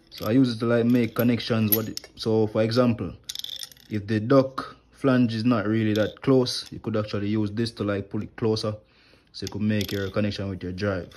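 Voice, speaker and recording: A man's voice: lowish voice, darkish tone, slight background noise. A young man, an HVAC technician, casually walking through his tool bag at home, explaining each tool plainly with fillers, recorded on a phone held close. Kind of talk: casual tool tour walkthrough. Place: indoors at home, wooden floor. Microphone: phone camera held close, filming hands.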